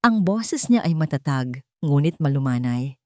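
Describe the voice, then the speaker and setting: A woman tells a scripted romance drama as its narrator. Her voice sits a little low for a woman. The sound is very dry, with no room around it. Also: animated, moderate pace, mellow, no room sound. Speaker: storyteller.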